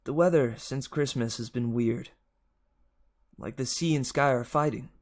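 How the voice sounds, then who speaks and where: A man's voice: mid-range voice, measured pace, a little mellow, natural voice. A man reading a children's book aloud, speaking as an uneasy, candid young boy with a hesitant, earnest manner. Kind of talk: dramatic children's audiobook reading.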